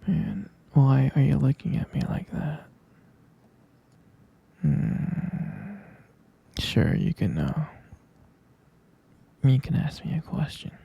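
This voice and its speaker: A man playing a gentle, sleepy vampire boyfriend in an intimate ASMR bedtime roleplay, murmuring tenderly and hesitantly in a breathy, gravelly half-whisper. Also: medium pitch, very animated, takes it slowly, pauses often, highs cut off, exaggerated voice.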